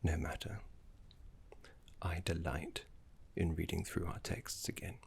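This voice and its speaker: A man voicing a gentle, courteous, scholarly older necromancer teacher in a soft-spoken, encouraging ASMR roleplay, with a breathy whisper. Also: flat delivery, unhurried, exaggerated voice. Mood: politely fussy. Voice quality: breathy, creaky low whisper. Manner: soft-spoken, genteel, encouraging, slightly fussy.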